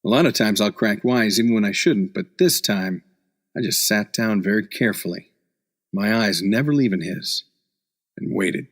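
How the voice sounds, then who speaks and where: A man's voice: fairly low pitch, moderate pace, natural voice. A man playing a hard-boiled beat reporter in a noir radio play, sardonic and slangy with a tough-guy manner. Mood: wary narrating. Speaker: actor in an audio drama.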